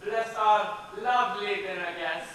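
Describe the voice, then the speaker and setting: A hammy, blunt old grandfather, acted by a man in a stage comedy. His voice is very high and bright, heard across an echoey space. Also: measured pace, distant mic. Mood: blunt, playful. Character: blunt, playful old Italian-American grandfather. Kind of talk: amateur stage comedy acting. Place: hall stage, distant camera. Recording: echoey stage recording from afar.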